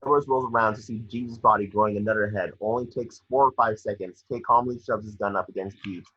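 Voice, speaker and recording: A man's voice: on the low side, fairly even intonation, quick pace, a bit bright, slightly rough. A man reading scripted action descriptions aloud as narrator of a film table read, occasionally stumbling. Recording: dry, dull lo-fi home recording.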